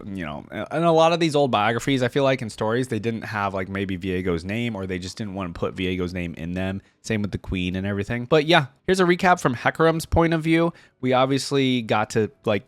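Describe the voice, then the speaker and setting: A man hosting a reaction, moving between casual commentary and expressive reading of fantasy stories aloud. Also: lowish voice, dry recording. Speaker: online reaction host.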